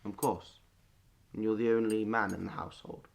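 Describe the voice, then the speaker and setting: A man's voice: lowish voice, flat delivery, moderate pace, gravelly. An actor playing a stern, authoritative father of a farming family in a wartime audio drama, speaking curtly. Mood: firm. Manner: authoritative, curt.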